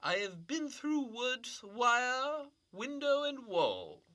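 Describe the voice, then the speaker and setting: Man playing rambling old riddler, sing-song rhymes, radio play, lively swooping pitch, slow drawl. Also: a loud crowd, full character voice. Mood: dreamy, rhyming. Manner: sing-song rhyming nonsense, dreamy. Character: eccentric old male riddling imposter, rambling. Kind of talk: comedy radio play acting.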